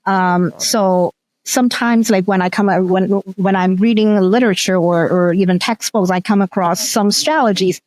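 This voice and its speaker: A woman's voice: medium pitch, fairly even intonation, normal speed, darkish tone, rough recording. A middle-aged woman from Hong Kong whose first language is Cantonese, a researcher telling stories as an interview guest, with frequent ums and restarts. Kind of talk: casual interview storytelling.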